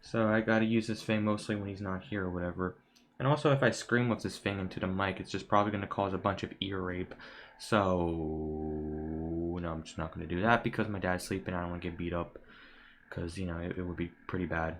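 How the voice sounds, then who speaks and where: Low-voiced young American man, rambling casually. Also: steady tone, a little fast, a little hiss or hum. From United States. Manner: informal, rambling, offhand. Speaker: video creator. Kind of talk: casual rambling commentary to viewers. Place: room at home.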